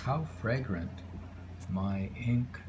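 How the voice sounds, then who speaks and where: Slow, low-voiced man reading haiku, noisy recording. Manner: deliberate, pausing, musing between poems. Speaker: poetry reader. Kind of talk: haiku reading with casual asides. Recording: very audible background noise, homemade recording.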